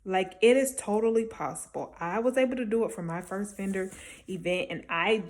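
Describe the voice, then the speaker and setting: A woman's voice: medium pitch, a little fast. A woman talking casually and encouragingly as a small-business vendor giving advice, emphatic and conversational, often checking in with the listener. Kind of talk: casual motivational advice talk to camera.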